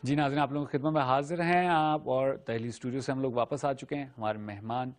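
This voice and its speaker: A man's voice: mid-range voice, steady tone, fast, soft background music. A man hosting a TV talk show from a studio, in formal, courteous phrasing. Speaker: TV show host.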